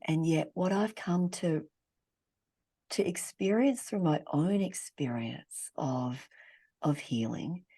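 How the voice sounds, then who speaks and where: Woman as a therapist guest: low, gravelly, thoughtful delivery. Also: slow pace, mellow. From Australia. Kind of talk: reflective interview talk. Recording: podcast remote call.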